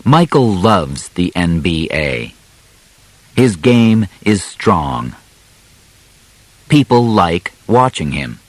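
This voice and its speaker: A man narrating an audiobook from a simple script, deliberate and carefully enunciated, in short phrases with pauses between them. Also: deep voice, big pitch swings, no echo.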